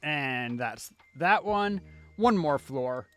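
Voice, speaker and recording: A man's voice: a little high, lively intonation, slow, a bit bright, soft background music. A man giving casual live commentary as he plays a video game, talking half to himself as a streamer. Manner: casual, muttering self-talk while playing.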